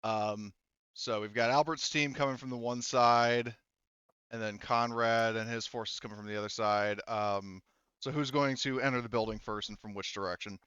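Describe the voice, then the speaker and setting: A man's voice: medium pitch, fairly even intonation, moderate pace, sharp tone, clear, resonant tone, dry recording, dull audio. A man acting as game master, talking through a tabletop roleplaying scene informally and thinking aloud. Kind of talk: casual tabletop roleplaying narration and rules talk.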